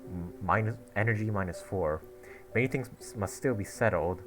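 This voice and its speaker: A man playing through a game, talking casually in an offhand way. He reads the on-screen text aloud and trips over words now and then. His voice is very low, and loud game music sits right under it. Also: moderate pace. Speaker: gamer playing through a story quest. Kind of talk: casual gameplay commentary.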